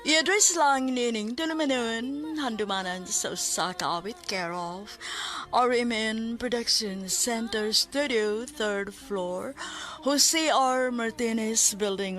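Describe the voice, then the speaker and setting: Unhurried Cebuano woman radio host. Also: a little high, fairly bright tone. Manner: formal, measured announcing. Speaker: radio drama host and narrator. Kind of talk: scripted radio narration.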